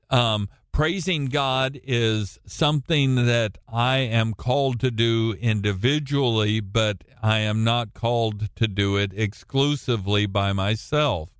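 A middle-aged American man with a booming voice, teaching from the Bible as a preacher in a halting, deliberate way with long pauses. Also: fairly bright tone, clean tone, no room sound, studio quality. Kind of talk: Bible reading and informal teaching with personal anecdotes. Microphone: close studio-style microphone at a computer.

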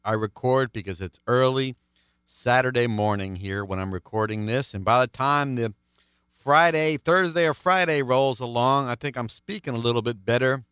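A man with a deep baritone voice, teaching the Bible on his radio program in an earnest, explanatory way. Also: normal speed, a bit bright, clear, resonant tone, no room sound, clean studio sound. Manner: earnest, explanatory, counts things out.

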